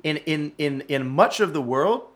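A man in casual chat, telling travel stories in a lively, self-deprecating way with frequent swearing. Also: a little high, normal speed. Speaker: traveler in conversation.

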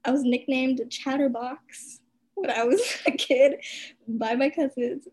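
A woman's voice: high voice, steady tone, a little slow, darkish tone. A talkative young woman chatting casually, full of "like" and "you know". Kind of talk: casual chat.

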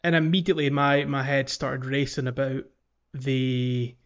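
A man co-hosting a podcast, talking casually about a video game in a halting way, searching for words. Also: medium pitch, little pitch movement, a bit bright, clean tone, lo-fi sound. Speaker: podcast co-host.

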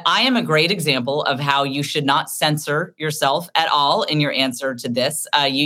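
A middle-aged woman with a deep voice talks as an interview guest. She tells frank, animated stories about herself, and her tone is bright and forceful. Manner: candid, animated storytelling. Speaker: author and comedian guest. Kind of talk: casual interview talk.

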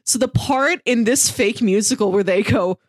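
A woman's voice: fairly high pitch, moderate pace, dry recording, studio quality. A woman co-hosting a podcast and chatting casually about comics, enthusiastic and laughing often. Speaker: podcast co-host.

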